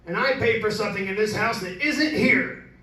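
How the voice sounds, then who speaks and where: A man's voice: sits high, moderate pace, crowd noise, natural voice. An actor playing a blunt, bossy, wealthy middle-aged father in an amateur old-time radio drama. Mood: brash, impatient. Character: blunt, wealthy, brash father who bought an old house. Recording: echoey room, distant microphone.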